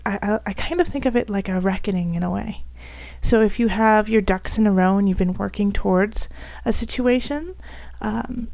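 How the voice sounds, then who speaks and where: A woman with a raspy, hoarse voice from bronchitis, softly reading tarot cards for her viewers, at times almost whispering. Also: mid-range voice, no echo, muffled recording. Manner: soft, at times whispery, with fillers and restarts. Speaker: tarot reader.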